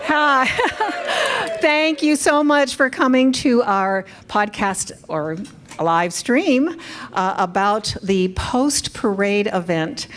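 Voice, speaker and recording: A woman's voice: a little high, lively intonation, takes it slowly, a bit bright, a little hiss or hum. A woman hosting a convention panel as parade coordinator, cheerful and chatty, laughing often. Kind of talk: upbeat live-stream hosting. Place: convention event room.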